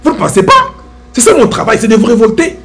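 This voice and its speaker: A man's voice: very high voice, huge pitch swings, fast talker. A middle-aged man with a Congolese French accent giving impassioned, preachy social commentary to his viewers, full of rhetorical questions.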